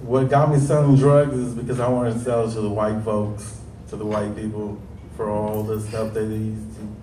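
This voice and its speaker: Deep voiced guy in his 30s, nervous, lots of ums, far from the mic in a big echoey room. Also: level tone, mellow, a little hiss or hum. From Stockton, California. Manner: hesitant, many ums and pauses. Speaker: panel speaker. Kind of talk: nervous personal testimony. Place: large hall.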